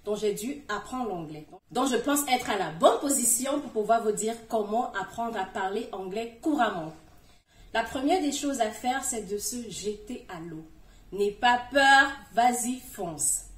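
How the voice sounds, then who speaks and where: A woman's voice: on the high side, expressive, unhurried. Encouraging woman in a roomy space. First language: French. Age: middle-aged. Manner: encouraging, addresses the viewer directly, emphatic swings in loudness. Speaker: YouTuber giving language-learning tips. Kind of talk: direct-to-camera advice video. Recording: roomy, distant from the mic.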